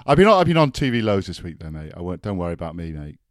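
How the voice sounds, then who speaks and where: A Welsh man co-hosting a podcast in a loose, self-deprecating chat. His voice is fairly low. The sound is close and dry, with almost no room echo. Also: sing-song, very fast. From Wales. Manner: chatty and self-deprecating, trailing off often. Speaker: podcast co-host. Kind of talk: casual chat.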